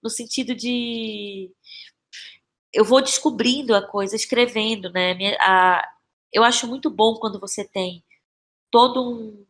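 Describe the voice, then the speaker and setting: Brazilian woman thinking aloud, halting and reflective. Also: on the high side, fairly even intonation. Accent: Brazilian Portuguese. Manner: halting, searching for words, repeats phrases, frequent tag questions. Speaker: playwright and actress, podcast guest. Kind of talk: casual, reflective interview talk.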